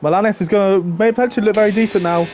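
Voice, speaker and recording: High-voiced man commentating sim racing. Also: rapid-fire, a little mellow, a little hiss or hum. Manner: chatty, thinking aloud, chatting with viewers. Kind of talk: live esports race commentary.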